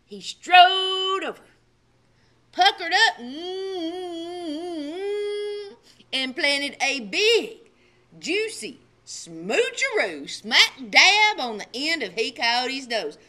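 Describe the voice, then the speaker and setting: A woman narrating a children's storybook theatrically, with strong emphasis and hushed dramatic drops. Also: sits high, very animated, very slow, pauses often. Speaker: librarian storyteller.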